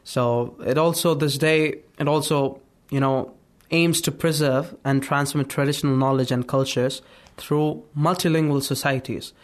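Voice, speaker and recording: A man's voice: medium pitch, fairly even intonation, a little slow. A man in a radio discussion who talks chattily, leans on fillers like "like" and "you know", and repeats himself as he explains. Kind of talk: radio talk show discussion.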